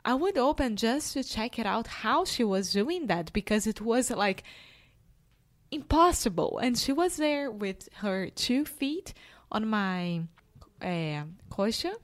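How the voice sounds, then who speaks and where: Slow-talking woman. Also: on the high side, very animated. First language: Portuguese. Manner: laughs often, careful non-native phrasing. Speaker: podcast co-host. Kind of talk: casual chat.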